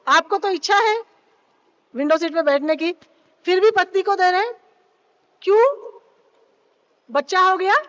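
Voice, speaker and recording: A woman's voice: extremely high pitch, a bit bright, no room sound, muffled recording. A woman giving a spiritual talk at a yoga event, speaking into a microphone and often asking her listeners questions. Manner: keeps asking the audience questions; mixes in English phrases. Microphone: microphone at a live event.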